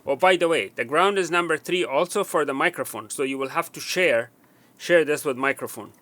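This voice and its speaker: A man with a bright, ringing voice walks through a wiring job at an indoor workbench. He speaks haltingly, with fillers and restarts, and counts pins aloud as he points to them. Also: a little high, no room sound. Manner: halting, with frequent fillers and restarts while working. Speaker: technician demonstrating wiring. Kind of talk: hands-on instructional walkthrough.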